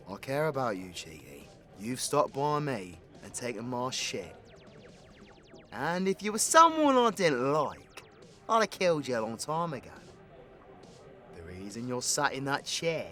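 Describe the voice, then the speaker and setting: A man's voice: medium pitch, a bit bright. A British man playing a brash, foul-mouthed supervillain with a teasing, slangy manner in an audio roleplay. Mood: calm, menacing. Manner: slangy, cocky, swearing. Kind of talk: villain audio roleplay, spoken to the listener.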